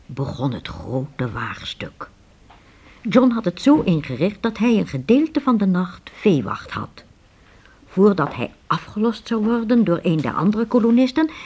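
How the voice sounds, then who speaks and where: A woman with a husky voice narrating a radio play as a dramatic, scripted storyteller. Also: fairly low pitch, mellow.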